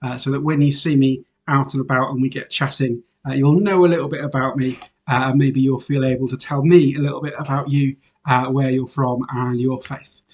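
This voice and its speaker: A man's voice: mid-range voice, fairly quick. A man, a priest born in Wales and raised in England, giving an informal, chatty self-introduction to his parishioners with frequent "uh" fillers. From Wales, raised in Northamptonshire, England. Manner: relaxed and chatty, many "uh" fillers, light laughs.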